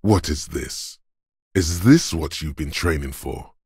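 An actor voicing a gruff, domineering inner voice of brute strength in a video game, husky and rumbling, with scornful, deliberate drill-sergeant delivery. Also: male voice, expressive, darkish tone, no room sound, studio quality, full character voice. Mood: scornful, goading. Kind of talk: video game character narration.

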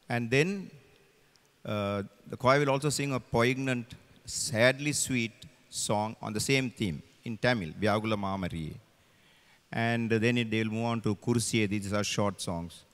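A man's voice: medium pitch, fairly bright tone. A man in his sixties with a Sri Lankan accent, a choral director explaining a programme through a handheld microphone in a large, reverberant church. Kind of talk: explaining a concert programme to an audience. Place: large cathedral, on stage. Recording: long reverberant church hall.

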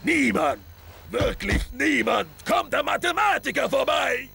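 A man voicing a huge aggressive brute gladiator in a cartoon video game, bellowing with a gravelly voice. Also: very high-pitched, lively intonation, measured pace, pushed and bright, heavily acted. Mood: menacing, boastful. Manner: bellowing threats laced with arithmetic puns. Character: huge aggressive math-obsessed brute gladiator called the Mathematician.

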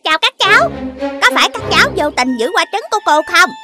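A woman's voice: very high-pitched, very animated, fast talker, over music. A woman voicing a motherly adult female animal in a children's cartoon, with a Southern Vietnamese accent. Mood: polite, relieved.

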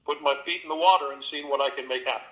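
A man's voice: a little high, fairly even intonation, racing, sharp tone, dull audio. A middle-aged man, an actor and audiobook narrator, talking casually in an interview from his home studio with a theatrical, expansive manner.

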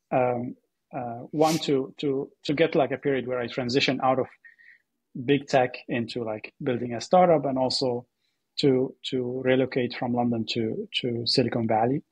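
A man from Syria with a very husky, breathy voice talks as an interview guest. He tells his story conversationally and haltingly, repeating words as he goes. The sound is close and dry. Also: medium pitch, darkish tone, no room sound, rough recording. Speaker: podcast guest, AI startup co-founder.

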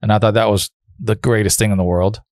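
A man in his thirties talking casually as a podcast guest, telling stories in an informal, halting way. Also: fairly low pitch, fairly even intonation, a little mellow, no echo, clean studio sound. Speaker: podcast guest, former helicopter flight instructor. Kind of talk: casual conversational storytelling. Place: small furnished podcast room indoors. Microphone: broadcast microphone on boom arm, close.

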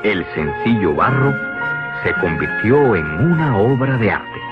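A man's voice: fairly low pitch, very animated, a little slow. A man narrating an educational cartoon from a script in a didactic, explanatory manner. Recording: dull lo-fi old cartoon soundtrack with music. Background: clear background music.

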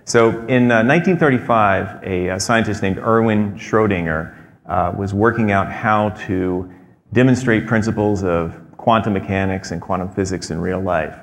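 A man in his thirties giving a prepared talk on a theater stage, measured and explanatory, with frequent fillers. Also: fairly low pitch. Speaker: presenter. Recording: long hall reverb.

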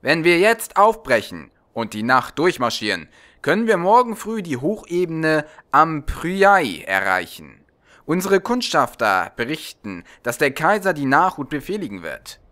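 A man reads as a dutiful fantasy lieutenant. His audiobook delivery is formal and factual, with a bright, forceful tone and animated intonation. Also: on the high side, measured pace, pitched above the performer's own voice, exaggerated voice. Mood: reporting. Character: dutiful military lieutenant giving a crisp report.

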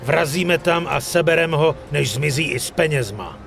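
A hot-headed gangster sidekick from a crime video game. His voice sits fairly high and has a gravelly edge. He talks coarse, blunt street slang, with faint music behind him. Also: expressive, a bit bright. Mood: determined. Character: hot-headed, foul-mouthed young male mobster sidekick. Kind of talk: dramatic dubbed video game acting.

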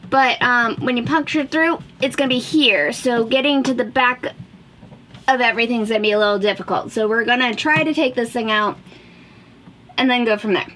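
A woman's voice: sits high, a bit bright, slight background noise. A woman in her thirties casually explaining a DIY project as a vlog host. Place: small wood-panelled boat cabin indoors.

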